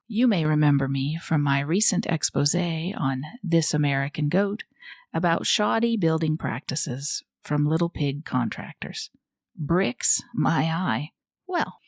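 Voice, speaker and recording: A woman's voice: deep voice, a little mellow, no room sound, clean studio sound. A woman playing a fussy, easily indignant goat reporter who hosts a scripted podcast in a prim, chatty, theatrical way. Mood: mock-formal. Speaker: podcast host.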